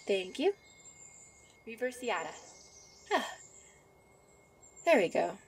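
A woman's voice: medium pitch, very animated, slow pace, husky, exaggerated voice. A woman as a shy, easily flustered fairy. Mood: encouraging. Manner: soft, coy, easily flustered. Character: shy, sweet young female fairy, flustered, teasing lover. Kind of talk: ASMR roleplay. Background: birdsong and outdoor ambience.

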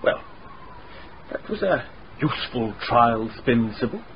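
An actor playing a sharp young gentleman sleuth in a radio mystery, probing and dryly sceptical. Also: medium pitch, expressive, measured pace, close to an everyday voice. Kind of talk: dramatic radio acting. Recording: dull, faintly noisy radio recording.

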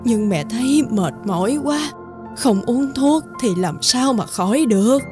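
A woman's voice: on the high side, animated, unhurried. A woman playing a weary, sick, doting village mother who pleads plaintively in a cartoon folktale. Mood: sorrowful. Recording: music under the voice.

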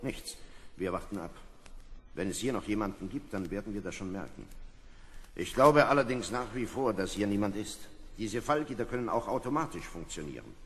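Level-headed astronaut, lowish lively voice, analytical, commanding, radio play, reverberant hall. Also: male voice, normal speed. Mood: calm, reasoning. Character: calm, reasoning male astronaut. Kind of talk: 1970s German radio play acting. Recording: reverberant hall with background noise.

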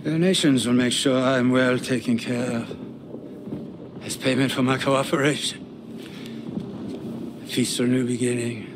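An actor playing an arrogant, cold Nazi officer in a video game, with a German accent and pompous delivery. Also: male voice, medium pitch, fairly even intonation, background noise, lightly acted. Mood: smug. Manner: pompous, deliberate. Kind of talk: video game cutscene acting.

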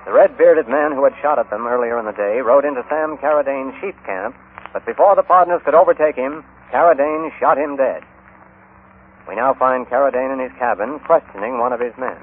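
A man's voice: mid-range voice, fairly quick, a little hiss or hum. An American man narrating a serial in scripted old-time radio style. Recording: muffled old radio broadcast.

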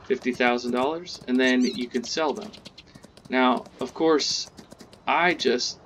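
A man hosting a casual gameplay tutorial, chatting in a relaxed way as he plays, with game sounds faintly behind. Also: mid-range voice, slow, a little hiss or hum. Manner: relaxed, chatty, talks while playing. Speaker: gaming channel host.

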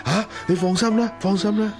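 An actor playing a doting, anxious wealthy husband in a radio drama, given to sighing. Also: male voice, high-pitched, fairly even intonation, moderate pace, over music, lightly acted. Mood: tender, distressed. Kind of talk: Cantonese radio drama acting.

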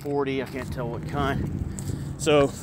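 A man's voice: medium pitch, little pitch movement, measured pace. Man rambling on a windy demolition lot. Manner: rambling, wry asides to viewers. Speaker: vlogger. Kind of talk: casual walking commentary. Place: outdoors on an empty demolished lot. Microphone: handheld phone camera. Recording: outdoor phone recording with loud wind and traffic noise.

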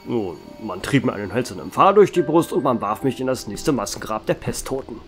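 A man voicing an ancient male vampire barkeeper who tells his past in a calm, dry way, with music behind him. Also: medium pitch, fairly quick. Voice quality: calm, dry, wry storytelling. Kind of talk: amateur horror audio drama.

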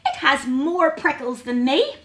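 Give this storybook woman's rendition of a little boy hedgehog a very high, squeaky voice. He is eager and easily worried, exclaiming like a child, and his pitch swings widely with a bright edge. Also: sing-song, a little slow, exaggerated voice. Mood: startled.

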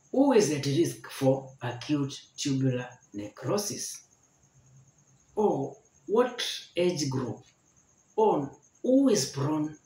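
A man in his forties teaching a health lesson to camera, slowly repeating each point to explain it. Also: medium pitch, mellow. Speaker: medical educator. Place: indoor room with barred window. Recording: echoey room.

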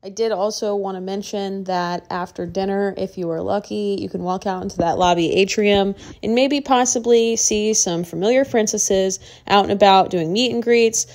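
A woman's voice: mid-range voice, normal speed, few pauses, a little mellow. A woman vlogger narrating a casual voice-over with gushing enthusiasm. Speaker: vlog host.

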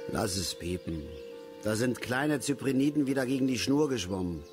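A man's voice: mid-range voice, lively intonation, normal speed, over music, close to an everyday voice. An actor playing an embittered, touchy middle-aged male angler who rambles and grumbles irritably in a radio play. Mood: irritated. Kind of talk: German radio play acting.

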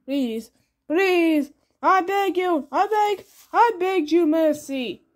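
A woman's voice: animated, takes it slowly, exaggerated voice. A woman voicing a panicky cartoonish man who stammers and begs in a raised voice. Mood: pleading. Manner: frantic, stammering repetitions, high-pitched begging. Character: panicky pleading cartoonish man who fears being grounded. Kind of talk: improvised comedy skit.

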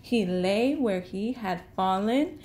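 A woman reading a children's picture book aloud in an expressive, deliberate storytelling style. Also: medium pitch.

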